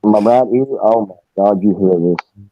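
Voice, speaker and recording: A man's voice: lowish voice, normal speed. A man casually recounting personal experiences as a guest, rambling and searching for words. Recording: dry, thin phone-like line.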